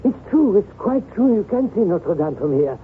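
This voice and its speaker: A narrow, lightly noisy vintage radio recording carries an effusive, genteel gentleman. He is florid and exclamatory, an excitable friend with refined speech. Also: high-pitched, moderate pace. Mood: delighted, admiring. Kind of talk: 1970s radio drama acting. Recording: phone-like old radio broadcast, faint noise.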